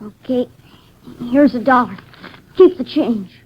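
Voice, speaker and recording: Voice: medium pitch, slow, slight background noise, lightly acted. An actor voicing a small, guarded runaway boy who acts tough in a 1950s radio drama, speaking in terse, defiant slang. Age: child figure, about nine. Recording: muffled vintage 1950s radio recording.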